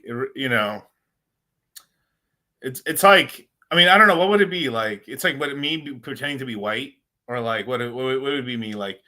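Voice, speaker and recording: A man's voice: mid-range voice, sharp tone. A man hosting a casual crypto livestream, rambling in slangy talk and calling his viewers "bro.".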